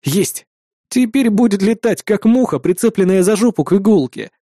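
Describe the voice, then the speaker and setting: Cocky sci-fi vigilante, played by a male narrator: high voice, flippant wisecracks, sardonic, dry sound. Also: lively intonation, moderate pace, mellow, dry recording. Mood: gleeful.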